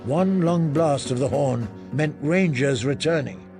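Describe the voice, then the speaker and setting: Slow, gravelly male narrator. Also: fairly high pitch, over music. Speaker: audiobook narrator. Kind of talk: scripted fantasy narration.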